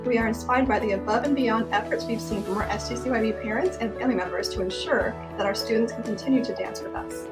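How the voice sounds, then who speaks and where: A woman reads a prepared address at a fast clip, her voice a little high. Loud music plays beneath her as she speaks as an artistic director. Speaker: ballet school artistic director. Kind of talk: scripted address to viewers.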